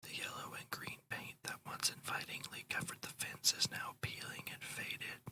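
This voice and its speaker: A host whispering a guided ASMR meditation in a breathy, slow, soothing and deliberate way.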